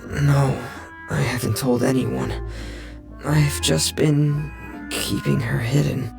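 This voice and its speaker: A woman playing a shy, secretive young teenage boy in a video game, hesitant and halting in speech. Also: rumbling low, fairly even intonation, gravelly, full character voice. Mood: uneasy, guilty. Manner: hesitant, halting, soft-spoken. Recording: music behind the voice. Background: background music.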